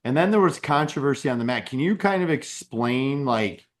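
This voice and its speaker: A man's voice: mid-range voice, expressive, moderate pace. A man hosting a casual MMA podcast interview who asks long, hyped-up questions and often stumbles and repeats words. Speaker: podcast host.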